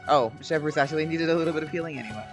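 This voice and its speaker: Female game streamer: very deep voice, casual off-the-cuff reactions, game music clearly behind. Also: racing.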